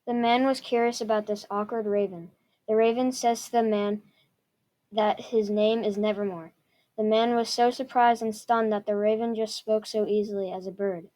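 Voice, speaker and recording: A woman's voice: mid-range voice, dark tone. A woman reading scripted story narration aloud, carefully and with the odd stumble. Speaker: student reader.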